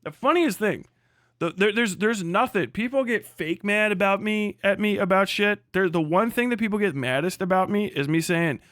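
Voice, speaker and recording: A man's voice: sits high, normal speed, fairly bright tone, no echo, studio quality. A man on a casual livestream rant, blunt and hectoring, swearing heavily as he speaks. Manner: mocks others in put-on voices. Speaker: livestream host.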